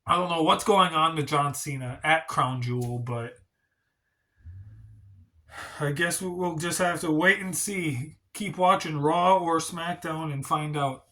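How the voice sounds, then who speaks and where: A man's voice: fairly high pitch, unhurried, bright, forceful tone. A man hosting a casual wrestling review vlog, talking in a rambling, hedging way, congested and stuffy from a cold. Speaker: wrestling channel host.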